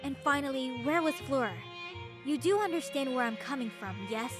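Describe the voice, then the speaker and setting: Woman as high-voiced, probing fantasy nun. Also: moderate pace, music in the background, close to an everyday voice. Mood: firm, probing. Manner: polite, probing, firm. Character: inquisitive, composed young nun questioning suspects. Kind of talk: visual novel character acting.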